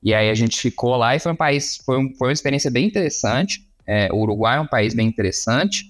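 A man's voice: mid-range voice, expressive, brisk, faint music behind. A man from Minas Gerais with a Brazilian accent, explaining things casually as an interview guest, often searching for words.

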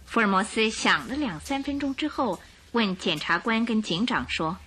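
A woman's voice: a little high, expressive, moderate pace, pushed and bright, slightly rough. A woman narrator reading scripted dramatic story passages for a radio drama.

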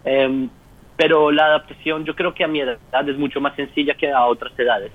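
A man's voice: on the high side, fairly even intonation, fairly quick. A man, answering interview questions earnestly and with conviction. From Colombia, Bogotá. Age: 20s. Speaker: interview guest. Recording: tinny phone line.

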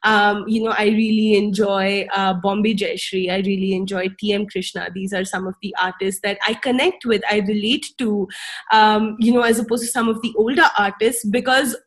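A woman's voice: mid-range voice, steady tone, moderate pace. A young woman whose first language is Tamil, a singer, giving casual advice in a chatty way, full of fillers and tag questions. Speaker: speaker sharing wellbeing advice.

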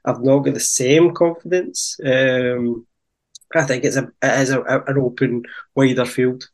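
A man's voice: medium pitch, steady tone, unhurried. A man co-hosting a football podcast, hesitant and thinking aloud in casual chat. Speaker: podcast co-host.

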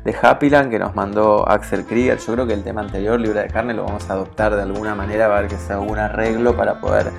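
Un conductor de radio argentino que comenta sin guion, con muchas pausas, titubeos y frases que retoma. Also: male voice, on the low side, flat delivery, gravelly, music in the background. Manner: hesitant, many restarts and fillers. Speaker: radio host. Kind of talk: unscripted radio commentary.

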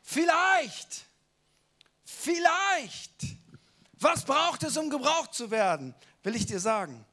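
A man's voice: very high voice, very animated, takes it slowly, sharp tone. A man giving a casual, colloquial sermon who keeps asking the listeners rhetorical questions. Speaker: preacher.

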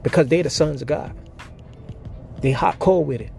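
A man delivers a fervent sermon, voice pitched a touch high. He works the crowd with call-and-response, dropping to near-murmurs and then leaping up into sharp cries. Soft music plays faintly behind him. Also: moderate pace, gravelly. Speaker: preacher. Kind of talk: impassioned sermon.

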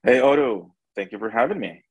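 A man's voice: medium pitch, a little fast, husky, no room sound, rough recording. A man from El Salvador with a Salvadoran accent, talking conversationally as an interview guest and often repeating words as he searches for phrasing. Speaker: interview guest, restaurant owner.

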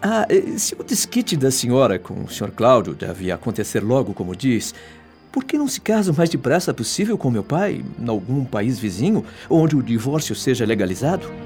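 A man's voice: medium pitch, very animated, normal speed, a little mellow, faint music behind. A male actor playing an earnest, respectful young Brazilian man, polite and emotional, in a radio soap opera. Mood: grateful, eager. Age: young adult.

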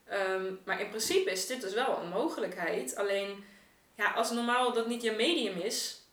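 A woman's voice: medium pitch, normal speed, fairly bright tone. Woman, podcast guest. Thinks aloud, conversational. Roomy, distant pickup. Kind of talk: casual podcast discussion. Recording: echoey room, off the mic.

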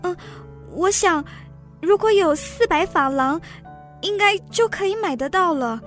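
An actress playing a demure, self-pitying young wife who longs for finery in a radio drama, speaking in a plaintive, delicate way. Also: female voice, extremely high pitch, lively intonation, measured pace, fairly bright tone, exaggerated voice. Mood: coaxing. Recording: music behind the voice.

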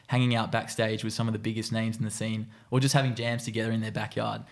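A man's voice: lowish voice, level tone, fast, gravelly. A man hosting a podcast, reading an upbeat introduction and then asking guests casual questions. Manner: upbeat, reads a glowing introduction. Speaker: podcast host.

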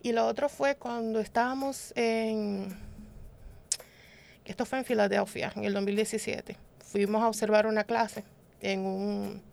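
A woman's voice: mid-range voice, creaky, darkish tone. A woman from the Dominican Republic on a panel, telling stories with many restarts and tag questions. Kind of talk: conversational panel discussion.

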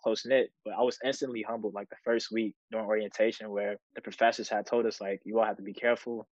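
A young man in his twenties from Chicago, telling a story on a student podcast in a steady, low-key way. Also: quick pace, dry recording. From Chicago, United States. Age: 20s. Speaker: student guest. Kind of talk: casual podcast conversation. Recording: dry, dull lo-fi sound.

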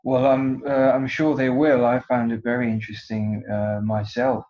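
A man's voice: mid-range voice, level tone, normal speed. A man hosting a podcast, asking questions politely with frequent uhs. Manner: polite, hesitant with fillers. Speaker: podcast host. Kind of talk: podcast interview. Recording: dull lo-fi sound.

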